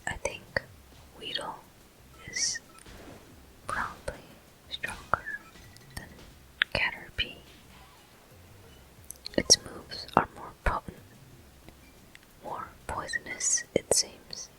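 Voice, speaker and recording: A woman hosting a gameplay video in ASMR style, murmuring softly and gently as she comments. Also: rough recording. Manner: soft, gentle, unhurried murmuring. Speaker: gameplay host.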